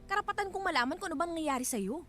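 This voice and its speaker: Very high voice, Filipino woman a distressed wife, pleading, exasperated, radio drama, faint music. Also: expressive, racing. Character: Thelma, distressed wife confronting her angry husband.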